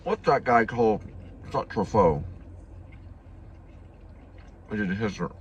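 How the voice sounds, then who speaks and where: A man's voice: on the low side, expressive, slow, slight background noise. A man from Texas chatting casually as a vlogger, musing in a dry, wandering way. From Texas, United States. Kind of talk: casual rambling vlog chat.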